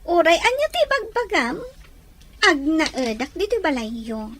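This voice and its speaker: A woman's voice: high-pitched, very animated, unhurried, fairly bright tone, a little hiss or hum, heavily acted. A woman acting a headstrong, clingy young woman in an Ilocano audio drama, whiny and insistent. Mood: smugly insistent. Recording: music behind the voice.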